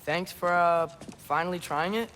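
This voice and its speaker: An actor playing an eager, polite but cheeky teenage son in a video game, informal and inquisitive. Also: male voice, sits high, moderate pace, bright, forceful tone, slight background noise. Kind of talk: dramatic video game acting.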